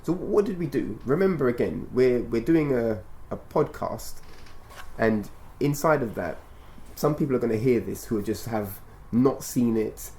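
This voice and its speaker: A man hosting a podcast interview in a measured, instructive way, rephrasing his points and prompting his guest as he talks. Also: medium pitch, lively intonation, warm tone. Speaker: radio show and podcast host. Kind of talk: conversational interview, explaining and prompting.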